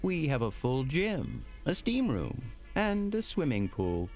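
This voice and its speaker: An actor playing a polite, easily shocked hotel manager in an audio drama, speaking formally and precisely. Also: male voice, a little high, huge pitch swings, slow pace, darkish tone, faint music behind, full character voice. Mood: courteous, placating.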